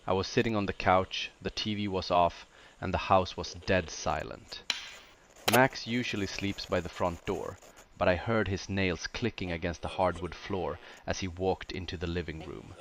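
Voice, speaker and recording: A man delivers scripted first-person horror narration in deliberate short phrases with dramatic pauses. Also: deep voice, fairly even intonation, a bit bright, slight background noise.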